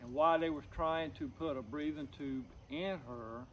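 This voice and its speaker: A middle-aged man talking personally to camera, subdued and grieving, in a halting, plain-spoken, folksy way. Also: a little high, a little hiss or hum, low-quality recording. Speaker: outdoors vlogger.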